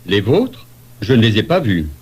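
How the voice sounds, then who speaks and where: A man playing a polite traveller in a scripted language-lesson dialogue, giving deliberate answers. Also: lowish voice, very animated, husky. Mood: polite, matter-of-fact.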